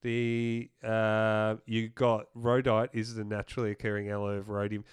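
A man's voice: lowish voice, level tone, moderate pace, clean tone, no room sound. An Australian man co-hosting a podcast, bantering casually with a mate in a teasing, blokey way. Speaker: podcast co-host and quizmaster. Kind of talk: casual banter between mates. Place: podcast studio.

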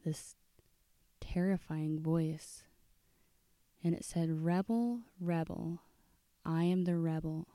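Woman playing a spooked, halting radio caller. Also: lowish voice, mellow, no room sound. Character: frightened woman caller telling a spooky story.

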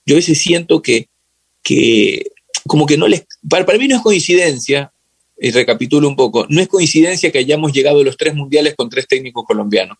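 Man, Ecuadorian accent, mid-range voice, close dry sound, thoughtful, halting, conversational football talk. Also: mid-range voice, breathy edge, no room sound. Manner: halting and thoughtful, searching for words, repeating phrases. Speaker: interview guest. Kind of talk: casual, reflective football discussion.

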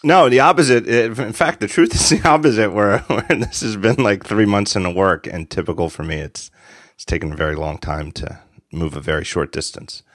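A man's voice: fairly low pitch, a little fast, no echo, studio quality. A man in his forties hosting a podcast, chatting casually and rambling with restarts. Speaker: podcast host. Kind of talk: casual chat.

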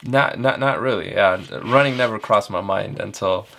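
Male interview guest, twenties, from Ethiopia. Deep register with vocal fry, casual, hesitant delivery full of fillers. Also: fairly bright tone. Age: 20s. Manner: halting, hesitant delivery with frequent filler words. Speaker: elite runner, interview guest. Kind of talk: casual chat.

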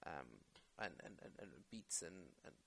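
A man's voice: deep voice, animated, normal speed, gravelly, bright, forceful tone. A man talking casually as an actor guest in an interview, rambling with many fillers and restarts.

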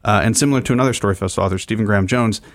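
A man hosting a casual radio interview, halting and often restarting his phrases mid-thought. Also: fairly low pitch, dry recording, clean studio sound. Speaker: radio show host interviewing a guest.

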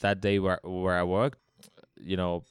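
A man's voice: low-pitched, flat delivery, a little slow, clear, resonant tone, no room sound, studio quality. A man from Hong Kong talking casually and telling anecdotes as a bar founder being interviewed.

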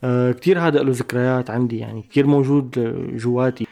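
A man with a Syrian Arabic accent, a musician giving a thoughtful interview, pausing and restarting mid-phrase. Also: mid-range voice, steady tone, mellow. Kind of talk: reflective interview talk.

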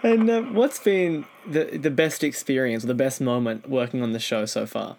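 A man's voice: medium pitch, normal speed, gravelly, background noise. A man hosting an interview, putting conversational questions to his guest. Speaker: interview host.

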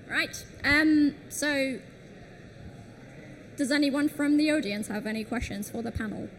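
Slow-speaking woman moderating a panel. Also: sits high, slight background noise. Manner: frequent short backchannels.

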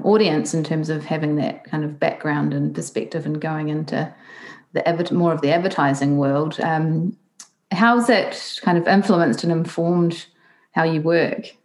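A New woman hosts a podcast interview in a fairly low voice. She reads her introduction in a measured way and asks her questions with a few "um"s. Her delivery stays calm and unhurried. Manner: measured, hesitant with fillers when asking. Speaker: podcast host.

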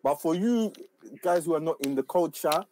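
A man's voice: on the high side, lively intonation, normal speed, a crowd in the background. A man, born in Uganda, bantering playfully in a casual chat. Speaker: livestream co-host.

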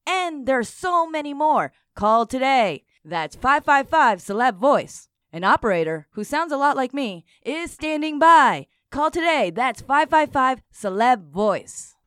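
A chipper spokeswoman played by a woman delivers a spoof infomercial pitch. Her voice is smooth and a bit high, in a very dry recording. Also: big pitch swings, a little slow, hardly any pauses, a bit bright, dry recording, exaggerated voice. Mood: salesy.

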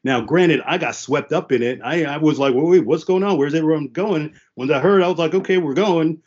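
A man's voice: a little high, a little fast, darkish tone, husky. Guy whose first language was creole and french, talking earnestly, lots of uh and you know, kinda muffled lo-fi audio. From United States (New York and New Jersey). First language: French and Haitian Creole. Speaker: panel guest. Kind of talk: candid personal storytelling.

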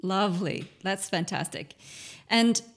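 A woman's voice: mid-range voice, a little slow, creaky. A woman hosting a podcast, talking in a conversational, enthusiastic interview manner. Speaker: podcast host.

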